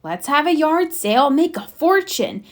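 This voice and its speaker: A cheeky little boy bear from a children's storybook, acted out by a woman. He is eager and curious, pitched high with very bouncy intonation. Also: very animated, normal speed.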